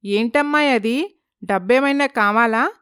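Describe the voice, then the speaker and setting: Consoling motherly neighbour acted by a woman, storybook cartoon, concerned, crisp, dry sound. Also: on the high side, a little slow, a bit bright, no echo.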